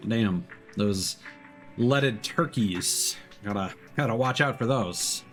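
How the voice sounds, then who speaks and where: A man's voice: on the low side, slow, fairly bright tone. A man casually commentating on his live gameplay in a rambling way with dry humour. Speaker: game streamer. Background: game music.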